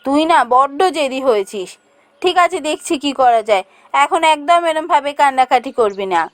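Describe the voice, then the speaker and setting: A woman voicing a practical, fretful village mother in a cartoon, with an exclamatory, expressive delivery. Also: high-pitched, moderate pace, no room sound. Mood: scolding. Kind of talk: Bengali fairy-tale cartoon dialogue.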